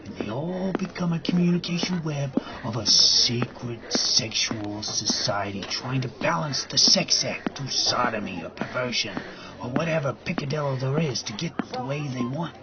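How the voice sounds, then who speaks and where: A man's voice: medium pitch, normal speed, lightly acted. A man acting in an audio drama as a rambling, agitated, crude guy arguing about conspiracies. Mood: crude, ranting. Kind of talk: scripted audio drama, casual dialogue. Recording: dull recording with loud background noise.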